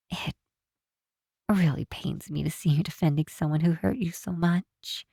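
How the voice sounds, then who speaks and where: A woman playing a possessive supervillain captor in an intimate ASMR roleplay, speaking in a measured, softly menacing yet reassuring way. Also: deep voice, slightly rough, no echo, studio quality, exaggerated voice. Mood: tender, pained. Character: possessive female supervillain captor, coaxing and protective. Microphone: close microphone in a dry space.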